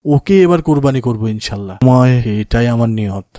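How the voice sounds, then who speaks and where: A man's voice: medium pitch, animated, a little fast, mellow, no echo, studio quality, close to an everyday voice. A man playing an emotional, devout village father in a melodramatic cartoon, speaking in a pleading, emotive way. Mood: gentle, resolute. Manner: pleading, emotive, often invoking God. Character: anguished, devout village father of a motherless daughter.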